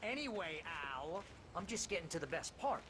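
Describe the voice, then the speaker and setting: An actor playing an excitable, chatty, brash agent and best friend in a video game. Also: male voice, sits high, normal speed. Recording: clear ambient background noise.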